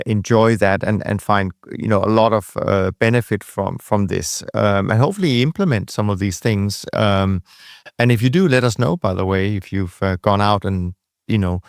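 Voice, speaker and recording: A man's voice: lowish voice, creaky, no echo, clean studio sound. A man with a Danish accent hosting a podcast interview, chatting with rambling asides. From Denmark. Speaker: podcast host. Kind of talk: conversational interview.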